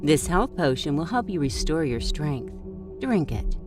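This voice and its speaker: A woman's voice: sits low, sing-song, unhurried, music in the background. A woman playing a gleeful, taunting, unhinged woman who runs a deadly game in a dramatized story. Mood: commanding. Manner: theatrical, taunting.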